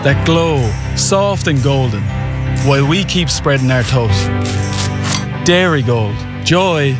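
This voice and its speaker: A man's voice: mid-range voice, animated, slow. A man reading a lyrical, heartfelt radio advert as a storytelling narrator. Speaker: advert voice-over. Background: loud music.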